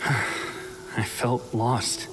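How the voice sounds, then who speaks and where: A man's voice: mid-range voice, flat delivery, very slow, music in the background, poor audio. A man playing a resigned, regretful exiled swordsman in an anime video game, subdued and hesitant.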